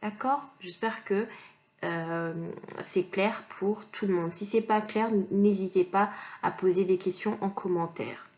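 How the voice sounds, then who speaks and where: A woman's voice: mid-range voice, steady tone, a little slow, darkish tone, lo-fi sound. Woman teaching, patient and explanatory. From Kinshasa, DR Congo. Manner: patient, explanatory, many fillers and restarts. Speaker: language teacher. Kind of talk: online lesson.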